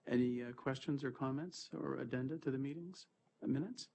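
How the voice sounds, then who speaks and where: A man's voice: medium pitch, brisk, creaky, darkish tone. A man with a cold, sounding a little stuffy, chairing a formal committee meeting and moving through agenda items. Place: board meeting room.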